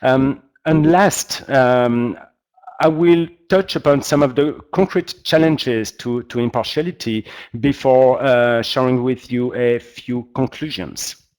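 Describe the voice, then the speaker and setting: A man's voice: mid-range voice, a little mellow. A man with a strong French accent giving an online expert presentation, politely and with frequent hesitations. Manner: hesitant, searching for words, polite. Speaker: guest expert, humanitarian practitioner. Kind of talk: webinar presentation.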